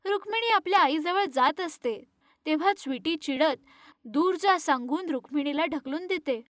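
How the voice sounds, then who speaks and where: A woman tells an animated moral tale as narrator. Her voice is extremely high and recorded very dry, with scripted storybook phrasing. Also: normal speed, dry recording.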